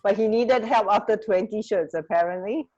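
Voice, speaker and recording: A woman's voice: mid-range voice, moderate pace, dark tone. A woman co-hosting a radio show in a casual, informal chat style, with slightly muffled audio. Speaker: radio co-host.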